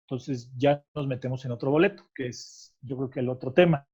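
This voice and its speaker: A man's voice: medium pitch, fairly quick, a little mellow, slightly rough, lo-fi sound. A man with a Mexican Spanish accent patiently explaining tax rules in conversation, checking often that he is understood. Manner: patient, explanatory, adds "¿ok?" after points. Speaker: tax expert guest.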